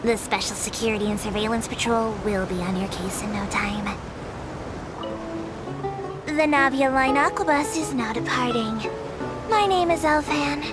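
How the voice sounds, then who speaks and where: A woman's voice: a little high, normal speed, long pauses, loud music behind the voice, natural voice. A woman voicing a small, polite, dutiful female creature who works as a tour guide in a video game, reciting announcements formally and precisely. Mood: matter-of-fact, helpful.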